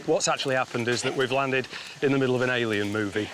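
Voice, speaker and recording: A man's voice: mid-range voice, fast. A man speaking thoughtfully and articulately as a reality show contestant. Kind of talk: casual talk and planning. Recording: outdoor noise.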